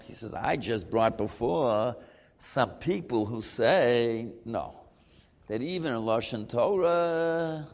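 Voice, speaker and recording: A man teaching a Talmud class, lively and questioning as he works through the argument. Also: medium pitch, long reverb tail. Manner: animated, questioning, mixing Hebrew terms.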